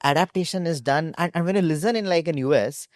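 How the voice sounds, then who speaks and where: A man's voice: on the high side, quick pace, no room sound, clean studio sound. A young man with an Indian accent explaining things conversationally as a startup founder in an interview. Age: young adult.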